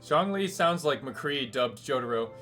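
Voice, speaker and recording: A man's voice: mid-range voice, lively intonation, measured pace, sharp tone, soft background music. A man casually commentating on a game livestream, joking and talking back to the characters. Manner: jokey, talks back to the game. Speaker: game streamer.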